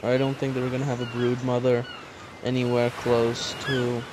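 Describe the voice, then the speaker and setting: A man talking calmly and plainly while gaming with friends. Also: medium pitch, normal speed. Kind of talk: casual gaming chat. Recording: noisy game audio.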